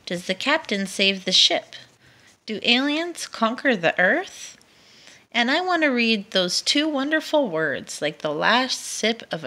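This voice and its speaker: A woman's voice: medium pitch, bright, forceful tone, heavily acted. A woman voicing an eager, polite young male dragon who loves books, pleading in a friendly, emphatic way in a children's picture book.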